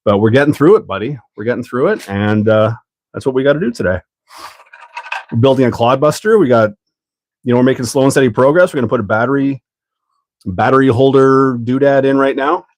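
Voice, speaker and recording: A man from Canada hosting a hobby livestream, talking in a rambling, relaxed way full of ums while stuffed up with a cold. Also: mid-range voice, a little fast. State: congested from a cold. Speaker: livestream host. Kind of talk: casual livestream chat while building.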